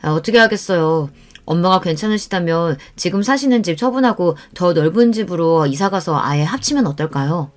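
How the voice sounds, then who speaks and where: A woman's voice: fairly low pitch, animated, brisk, lightly acted. A woman voicing an adult son who talks casually and coaxingly to his mother in a radio story. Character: adult son, earnest, persuasive, asking his mother for help.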